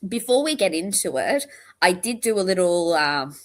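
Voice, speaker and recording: Casting note: woman host, lower voice gone croaky from tiredness, rambly friendly chat to her stream viewers. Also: very animated, moderate pace. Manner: chatty, rambling, greets viewers by name. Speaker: livestream host. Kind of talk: casual livestream chat.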